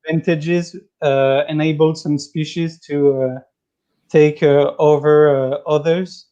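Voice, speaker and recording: A man with a French accent calling into a livestream, hesitant and full of pauses as he asks his question. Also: a little high, level tone, mellow, lo-fi sound. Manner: hesitant, many ums and pauses. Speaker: caller. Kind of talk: casual call-in question.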